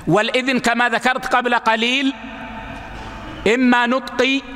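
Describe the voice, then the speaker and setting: A man giving a religious lesson, explaining texts in a measured, teaching way and addressing his listeners as brothers. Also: very high-pitched, little pitch movement, bright, forceful tone, big-room sound.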